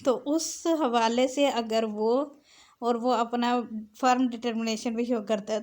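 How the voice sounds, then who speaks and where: A woman lecturer explaining step by step, reading out clauses deliberately and switching between Urdu-Hindi and English. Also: fairly high pitch, darkish tone. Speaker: law lecturer.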